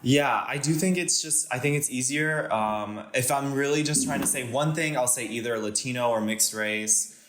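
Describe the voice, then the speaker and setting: A man's voice: medium pitch, moderate pace, fairly bright tone, echoey room, slight background noise. A young man from Panama with an American, Californian accent, speaking as a guest in a casual conversation, hesitant with many fillers and restarts.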